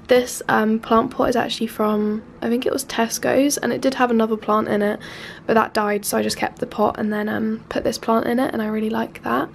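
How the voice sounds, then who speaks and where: Nasal, congested Englishwoman, twenties, gravelly and fairly flat, casual vlog chatter on a camera mic. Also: on the high side, slight background noise. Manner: chatty, filler-heavy, loose and unhurried. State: nasal from a cold. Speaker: vlogger. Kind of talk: casual room tour chat. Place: small student bedroom, indoors. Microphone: handheld camera mic.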